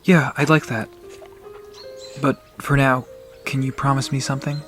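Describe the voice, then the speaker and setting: A man playing a gentle, guarded young man in an audio drama, speaking politely and with hesitation. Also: medium pitch, flat delivery, moderate pace, soft background music, lightly acted. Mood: awkward, careful. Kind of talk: audio drama character acting.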